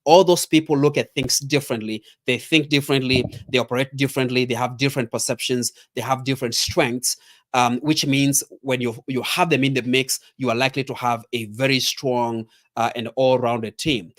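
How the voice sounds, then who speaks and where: A man's voice: mid-range voice, fairly even intonation, moderate pace. A middle-aged man from Kenya with a Kenyan accent, giving a motivational training talk as a leadership coach, emphatic, repeating key points and addressing listeners directly.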